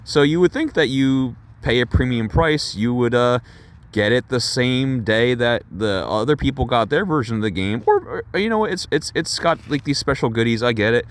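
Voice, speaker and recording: A young man doing a casual unboxing vlog for his chat, excitable and rambling, swearing and laughing at himself while losing his voice. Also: medium pitch, moderate pace. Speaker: gaming YouTuber doing an unboxing.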